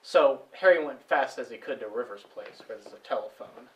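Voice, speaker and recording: Halting farmer witness, distant amateur actor. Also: male voice, medium pitch, lively intonation, natural voice. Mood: earnest, uneasy. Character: plainspoken male farmer witness recounting a discovery. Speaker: stage actor. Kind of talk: amateur stage play dialogue.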